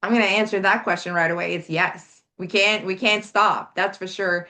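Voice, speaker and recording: A woman's voice: medium pitch, steady tone, moderate pace, sharp tone. A Canadian woman speaking as an interview guest, earnest and emphatic, often repeating her point. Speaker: guest, health coalition organizer. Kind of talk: casual interview talk.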